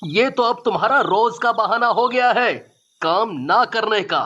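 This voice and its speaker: A man's voice: high-pitched, lively intonation, unhurried, bright, forceful tone, dull audio, full character voice. An actor playing an exasperated young husband and devoted father in a story, reproachful and earnest.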